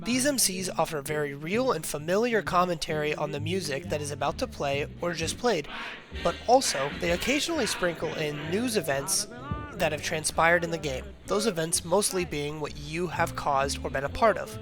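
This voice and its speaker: A man's voice: a little high, lively intonation, normal speed, over music. A man reading a scripted analytical video essay in a formal, academic manner.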